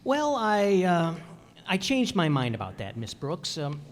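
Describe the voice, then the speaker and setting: A man's voice: on the high side, huge pitch swings, measured pace, a little hiss or hum. A man playing a bashful, awkward schoolteacher suitor, hesitant and polite, in old-time radio comedy style. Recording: echoey hall, long reverb.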